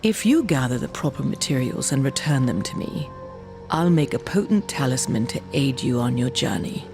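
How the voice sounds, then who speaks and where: A woman playing a solemn old shaman of a dying giant race in a fantasy game, speaking in a measured, mystical way. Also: rumbling low, expressive, vocal fry, over music, heavily acted. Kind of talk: dark fantasy game acting.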